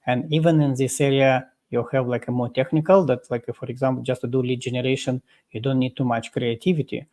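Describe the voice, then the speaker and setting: A man being interviewed as a guest over a video call, explaining at length in a halting way full of fillers and restarts. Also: medium pitch, little pitch movement, warm tone. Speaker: guest expert.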